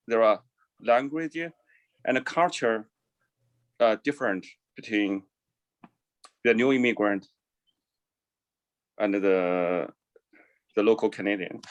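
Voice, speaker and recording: Halting man asking a question, dry sound. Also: mid-range voice, no room sound. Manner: halting, with restarts and fillers. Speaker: audience member asking a question. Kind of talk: question on a video call.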